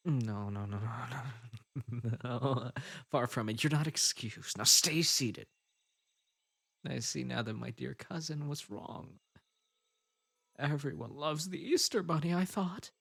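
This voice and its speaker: A possessive bunny spirit, played by a man, who coaxes in a slow, breathy murmur broken by unsettling giggles. The gravelly, husky voice swings between wounded pleading and sinister calm. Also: mid-range voice, full character voice. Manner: soft coaxing delivery broken by giggles and sudden outbursts. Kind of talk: audio roleplay drama.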